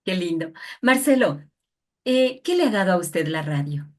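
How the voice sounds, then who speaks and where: A woman's voice: fairly low pitch, expressive, moderate pace, a little mellow. A woman hosting an interview in a formal, respectful style. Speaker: interviewer, program host.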